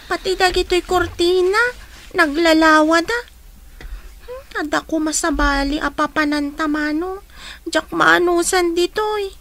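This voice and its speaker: Actress as timid orphan girl; very high, soft, meek. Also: measured pace, heavily acted. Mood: weary. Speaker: radio drama actor. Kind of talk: radio drama acting.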